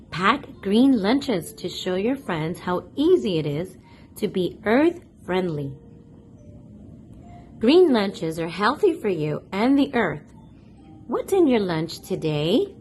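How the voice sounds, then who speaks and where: A woman reading a picture book aloud for young children, speaking deliberately and addressing her listeners directly. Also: medium pitch, big pitch swings, a little mellow, slight background noise. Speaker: host of a children's read-aloud channel.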